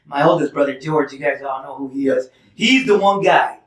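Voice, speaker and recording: A man's voice: mid-range voice, normal speed. Chatty man telling stories, roomy distant sound. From Holland, Michigan. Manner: chatty, laughs often, thanks repeatedly. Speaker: guest musician. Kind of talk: casual interview storytelling.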